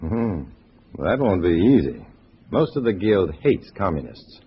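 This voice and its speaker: A low, lively male voice gives us a hard-boiled undercover agent in an old radio spy drama. He is terse and wryly dry. The recording is muffled, with a faint hiss. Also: measured pace, husky, heavily acted. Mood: matter-of-fact. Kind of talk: 1950s radio drama acting and first-person narration.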